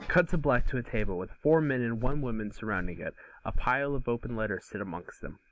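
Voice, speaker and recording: A man's voice: medium pitch, animated, fast talker, poor audio. A man reading scripted scene narration informally at an amateur table read. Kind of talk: scripted narration of stage directions.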